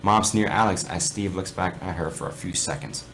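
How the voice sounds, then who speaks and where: A man's voice: deep voice, moderate pace, vocal fry, a bit bright, a little hiss or hum. A man reading fan fiction aloud in an amateur dramatic style, often stumbling and correcting himself. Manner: theatrical, stumbles over words and corrects himself.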